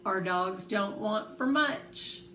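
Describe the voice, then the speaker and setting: A woman's voice: mid-range voice, slow pace, bright, forceful tone. A woman voicing a tough, proud, easygoing grown woman with a folksy, laid-back manner in a storybook reading. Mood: proud, casual. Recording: roomy echo, faint background noise.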